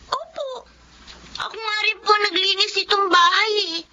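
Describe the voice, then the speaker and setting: A woman's voice: extremely high pitch, measured pace, sharp tone, full character voice. A woman playing a young, dutiful and hurt daughter in a Filipino radio drama, polite and earnest with a plaintive delivery. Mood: respectful, uneasy. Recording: dull lo-fi audio with faint noise.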